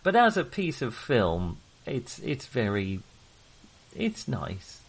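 A man chats casually as a film podcast co-host. His mid-pitched voice is creaky but animated, rising and falling freely. He comes across as mild and conciliatory, often softening what he says. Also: slow pace.

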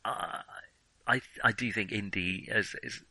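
A man with a fairly low but strikingly bright, crisp-edged voice talks casually as a film podcast co-host. He hesitates and qualifies himself as he goes. The sound is dry, with very little room around it. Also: measured pace, no echo.

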